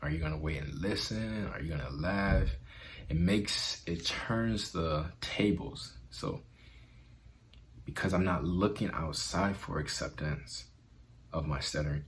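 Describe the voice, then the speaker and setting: A man's voice: low voice, a little slow, slight background noise. A man vlogging in an earnest, conversational way about self-acceptance, with a stutter. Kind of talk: motivational vlog talk.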